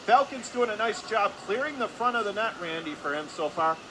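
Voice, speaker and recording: A man calling live ice hockey play-by-play for radio in clipped phrases, getting excited on scoring chances. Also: high voice, a little fast. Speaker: play-by-play announcer. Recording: clear background noise, arena ambience.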